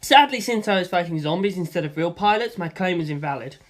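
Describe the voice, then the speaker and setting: A narrator reading a first-person novel aloud in an expressive storytelling style, acting out the action with gusto. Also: medium pitch, quick pace. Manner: acts out sound effects with gusto.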